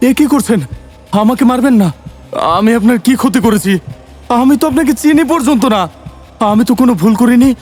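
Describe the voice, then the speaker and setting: A desperate male doctor in a suspense audio drama. He cries out in sheer panic, his voice pushed extremely high. Music plays faintly behind him. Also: fairly quick, long pauses, a little mellow. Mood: terrified pleading. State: panicked, crying out. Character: terrified male doctor begging an attacker for his life.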